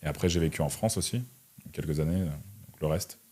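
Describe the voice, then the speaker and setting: A young man in his twenties with a very low voice, talking as an interview guest. He is from Russia and explains himself informally, with slang and conversational tags. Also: fairly quick, dark tone, slightly rough. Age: 20s. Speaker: interview guest, entrepreneur and online content creator. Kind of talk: casual conversational interview answers.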